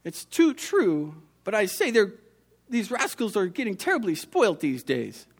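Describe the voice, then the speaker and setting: A man voicing a cross old woman who sells apples, scolding in a high, drawn-out voice. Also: huge pitch swings, exaggerated voice. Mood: grumbling. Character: scolding old female apple seller, gruff grandmother.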